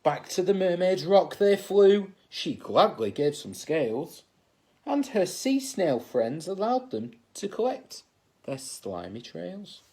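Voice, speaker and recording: A man narrates a children's storybook in an expressive teacherly style. His pace is slow and measured, and his pitch rises and falls widely. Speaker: assistant head teacher reading a bedtime story.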